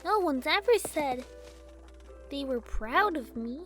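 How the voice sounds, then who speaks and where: A woman voicing a small, vulnerable young filly villain in a hushed, hesitant whisper for a fan fiction reading. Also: high-pitched, animated, slow pace, faint music behind, voice pushed up, full character voice. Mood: touched, wistful.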